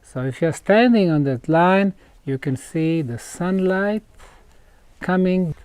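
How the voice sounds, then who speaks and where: A man with a fairly high voice gives an on-site explanation to camera. He speaks slowly and deliberately, leaning on key words and breaking his thoughts into short phrases. The sound is dry, with no echo. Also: animated, a little mellow, clean tone. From Bosnia. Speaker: researcher presenting a documentary. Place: outdoors at a rock site.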